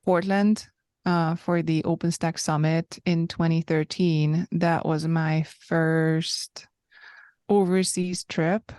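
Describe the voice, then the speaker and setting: A woman in her thirties with a low, smooth voice, recounting her story as an interview guest. She speaks slowly and reflectively, pausing often. The sound is dry and close. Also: little pitch movement, mellow, no echo. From Hungary. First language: Hungarian. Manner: thoughtful and reflective, with frequent pauses.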